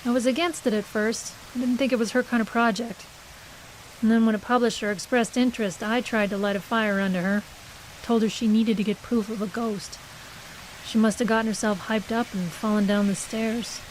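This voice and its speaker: An actress playing a brisk, defensive, skeptical literary agent in an audio horror drama, with dry, guarded delivery. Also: female voice, a little high, steady tone, slight background noise, lightly acted, poor audio. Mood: guarded, brittle.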